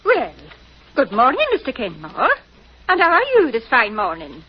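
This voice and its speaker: Husky, gossipy English village postmistress. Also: female voice, on the high side, wildly swooping pitch, normal speed, faint music behind, exaggerated voice. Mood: cheerful. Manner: chirpy, effusive. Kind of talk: 1940s radio drama acting. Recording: muffled old radio recording.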